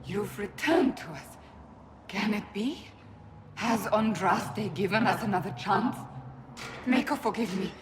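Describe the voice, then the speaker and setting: An actress plays a devout warrior woman broken by grief in a fantasy game. Her mid-pitched voice is solemn and intense. Clear ambient noise runs behind it. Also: measured pace, pauses often, in a large hall, lightly acted. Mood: anguished, awed. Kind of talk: dramatic fantasy game dialogue.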